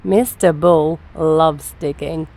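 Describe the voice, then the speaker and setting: A woman's voice: sits low, steady tone, takes it slowly, very noisy. A woman reading a children's storybook aloud as a narrator. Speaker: storybook reader and channel host.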